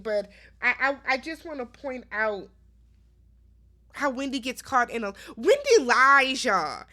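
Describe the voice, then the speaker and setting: A woman's voice: a little high, sharp tone. A woman giving casual, chatty recap commentary as a host, emphatic and opinionated, often addressing her listeners directly.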